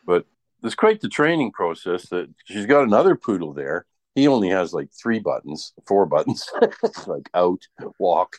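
Casual male talker for a chat show: low voice, dry sound, rambling anecdotal delivery. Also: big pitch swings, normal speed, dry recording. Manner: rambling, often tags sentences with "right?".

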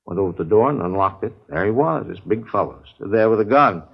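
A man's voice: fairly low pitch, animated, fast, vocal fry, slightly rough, exaggerated voice. An American man playing a talkative, slightly testy supermarket manager in a 1950s radio drama, rambling in a folksy, naturalistic way. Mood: recounting. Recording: muffled vintage radio recording.

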